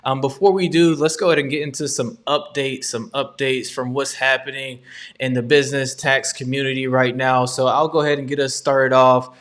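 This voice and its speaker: A man's voice: mid-range voice, little pitch movement, moderate pace, a bit bright. A man from Georgia hosting a live question-and-answer stream, explaining updates in an upbeat, conversational way with frequent ums. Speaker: host.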